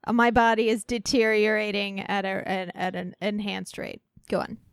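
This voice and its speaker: A woman in her thirties co-hosting a podcast, chiming in with quick, swearing jokes. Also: mid-range voice, fairly even intonation, a crowd in the background. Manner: wisecracking, swears. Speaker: podcast co-host. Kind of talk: casual chat, quick reactions.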